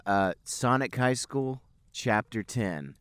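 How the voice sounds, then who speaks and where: A man co-hosting a podcast, deadpan as he banters and reads aloud for comedy. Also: medium pitch, slow, clean tone, dry recording. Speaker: podcast co-host and reader. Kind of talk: comic read-aloud and casual banter.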